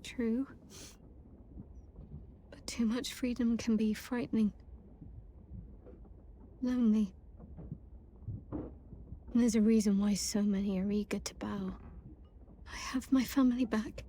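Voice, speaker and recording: An actress voicing a wistful, guarded but tender young woman cleric in a video game, speaking softly and haltingly. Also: on the high side, fairly even intonation, slight background noise. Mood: wistful, troubled. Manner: soft, hushed, halting, earnest. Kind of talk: video game cutscene acting.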